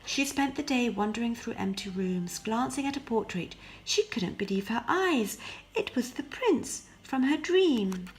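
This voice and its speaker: A woman narrating a scripted fairy tale as an expressive storyteller, often hushing her phrases. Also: a little high, a little slow, poor audio. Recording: faint background noise.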